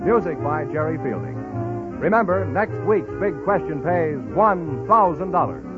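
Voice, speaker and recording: A man's voice: on the high side, animated, normal speed, music in the background. An American man announcing a 1940s radio show in the scripted style of the era. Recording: muffled old radio broadcast.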